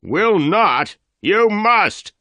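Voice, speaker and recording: A man's voice: medium pitch, unhurried, pushed and bright, no room sound, voice pushed up. A man voicing a fat, gray-haired older male leader who demands answers in a hectoring way. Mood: angry.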